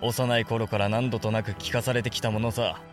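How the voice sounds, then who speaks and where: An actor playing an ambitious, defiant young samurai lord in a Japanese period audio drama, speaking in impassioned, archaic warrior speech. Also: male voice, on the low side, flat delivery, normal speed, faint music behind, close to an everyday voice. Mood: resolute, yearning.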